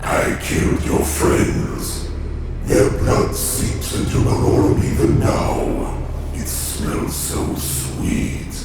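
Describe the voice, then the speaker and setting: An actor playing a taunting, predatory damned monster in a horror radio play, with a harsh, voiceless rasp. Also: male voice, extremely slow, pauses often, over music, rough recording. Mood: menacing, taunting.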